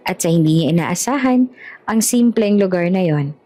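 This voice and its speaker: A woman's voice: on the low side, expressive, moderate pace, dark tone. A woman reading a scripted romance story as the narrator of an audiobook. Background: background music.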